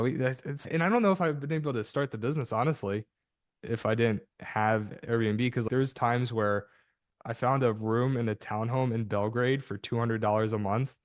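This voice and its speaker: Guy hosting a podcast, upbeat chatty, mid pitch, dry close mic. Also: no echo. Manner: upbeat, chatty, with frequent restarts. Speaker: podcast host. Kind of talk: casual interview hosting.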